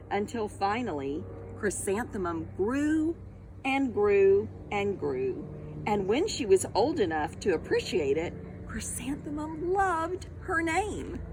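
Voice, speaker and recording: A woman reading a picture book aloud to young children, expressive and deliberate, pausing to put questions to them directly. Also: mid-range voice, noisy background. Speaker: storytime reader, teacher of young children.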